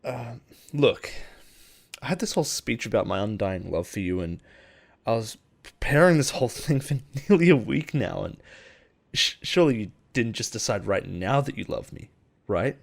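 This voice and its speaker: A man playing an obsessive, possessive boss in love with his assistant, in an intimate audio roleplay with halting, pause-filled delivery. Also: medium pitch, big pitch swings, creaky. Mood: flustered, teasing. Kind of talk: intimate dramatic roleplay monologue.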